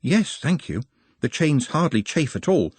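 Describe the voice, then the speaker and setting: A man does an odd young man who speaks with formal, patient precision. The voice sits a little above the reader's own, husky and dry. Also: a little high, big pitch swings, measured pace, no echo, pitched above the performer's own voice, heavily acted.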